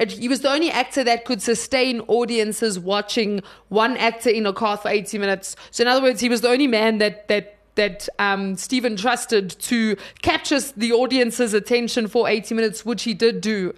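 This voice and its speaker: A woman's voice: a little high, moderate pace, few pauses. A young woman from South Africa with a South African accent, chatting animatedly about a film as a podcast co-host. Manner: chatty and animated, many restarts. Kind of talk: casual film review chat.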